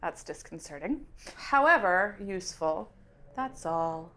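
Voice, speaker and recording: A woman's voice: fairly low pitch, expressive, measured pace, sharp tone. A woman playing a tabletop game, deliberating aloud in casual discussion.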